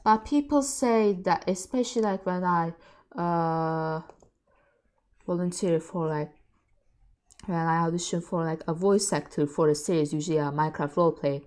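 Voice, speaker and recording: A woman with a mixed, shifting non-native English accent, chatting casually on a livestream in a halting, hesitant way. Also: fairly low pitch, darkish tone.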